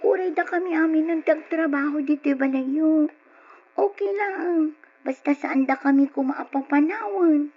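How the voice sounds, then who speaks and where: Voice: sits high, measured pace. An actor playing an earnest older orphan sibling in an old radio drama, sincere and pleading, with an Ilocano accent. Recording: dull lo-fi radio recording with music.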